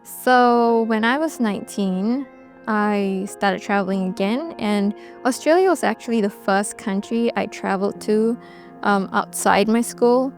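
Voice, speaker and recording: A woman's voice: mid-range voice, a little slow, faint music behind. 20s woman, easygoing interview talk w lots of ums. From Bangladesh, raised in Singapore. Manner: relaxed, reflective, with frequent ums and restarts. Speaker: documentary subject. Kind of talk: interview recollection.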